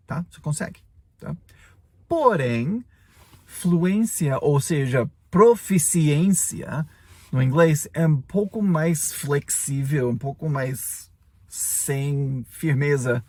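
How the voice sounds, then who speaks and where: A man's voice: on the high side, darkish tone, no room sound. A native English-speaking man in his forties, an English teacher giving a chatty podcast lesson in Portuguese, often tagging his sentences with little check-ins. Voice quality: gravelly, husky.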